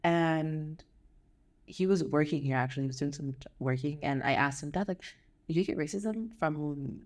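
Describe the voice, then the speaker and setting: A woman's voice: sits low, moderate pace, a little mellow. An American woman talking casually as a podcast guest, telling anecdotes in an informal way and quoting people as she goes. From United States. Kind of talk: casual conversation.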